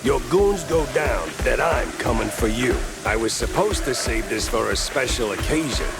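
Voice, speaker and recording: An actor playing a tough, wisecracking mouse private detective in a noir cartoon video game, with a sardonic, hard-boiled manner. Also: male voice, medium pitch, animated, moderate pace, creaky, over loud music. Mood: defiant, taunting.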